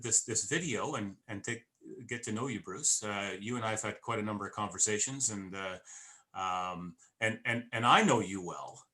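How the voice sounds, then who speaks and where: Bright-voiced male interviewer. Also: lowish voice, low-quality recording. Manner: halting, many fillers and restarts, friendly. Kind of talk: interview wrap-up.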